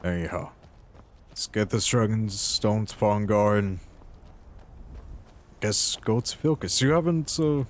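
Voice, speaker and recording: Guy acting out a deep slow talking mercenary in his 30s, earnest n wistful, fantasy game roleplay, kinda halting. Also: slight background noise, lightly acted. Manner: musing, halting, reflective. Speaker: role-playing gamer voicing his character. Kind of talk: in-character fantasy game monologue.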